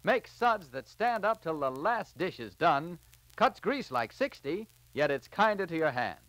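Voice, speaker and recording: Old time radio announcer guy, super lively swoopy delivery, dry sound, 1940s recording. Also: a little high, unhurried, dry recording. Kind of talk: 1940s radio ad and scripted narration. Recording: vintage 1940s radio transcription disc.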